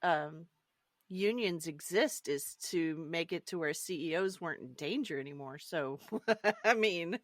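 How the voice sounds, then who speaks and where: A woman's voice: on the low side, measured pace, dry recording, studio quality. A woman co-hosting a podcast in casual, joking discussion with a playful manner. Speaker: podcast co-host.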